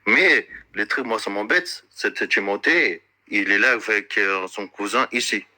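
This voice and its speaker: A man making an unscripted, accusatory complaint in an insistent, repetitive and halting way, searching for words. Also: lowish voice, sharp tone.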